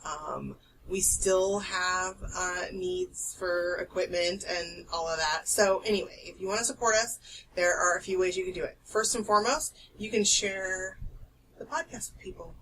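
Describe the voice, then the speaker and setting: A woman co-hosting an art podcast in casual chat, loud and carrying, chatty, swearing freely and laughing often. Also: lowish voice, fairly even intonation, normal speed, distant mic, a little hiss or hum, rough recording. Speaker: podcast co-host. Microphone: desk podcast microphone.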